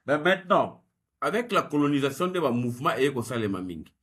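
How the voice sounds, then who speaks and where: A man, explaining history in a measured, analytical interview answer. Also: medium pitch, big pitch swings, fairly bright tone. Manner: measured and explanatory, mixing French with Lingala. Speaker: guest researcher.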